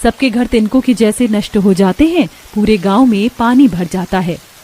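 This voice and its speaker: A woman's voice: mid-range voice, normal speed, warm tone. A woman reading scripted narration for a cartoon story.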